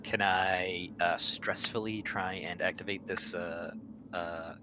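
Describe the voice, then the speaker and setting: A man's voice: sits low, fairly even intonation, takes it slowly, noisy background. A man talking casually as a player during a tabletop roleplaying game. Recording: dull lo-fi audio over clear music.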